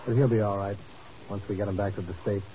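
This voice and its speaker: A man's voice: fairly low pitch, steady tone, quick pace, lo-fi sound, natural voice. A man playing a cool, brave, dryly impatient secret agent in a 1950s radio drama, on an old noisy recording. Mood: grave, steady.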